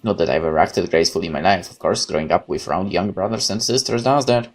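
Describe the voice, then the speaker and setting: A man doing a let's play, reading game text aloud and adding chatty, expressive commentary. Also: deep voice, fast. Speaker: gaming YouTuber. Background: guitar music.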